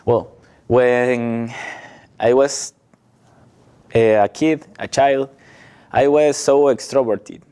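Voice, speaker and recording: A man in his twenties from Ecuador, speaking English with a Spanish accent as a guest, telling his story slowly and haltingly with little laughs. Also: mid-range voice, steady tone, mellow, clean tone. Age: 20s. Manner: slow, halting non-native English with frequent laughs. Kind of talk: casual interview, telling his life story.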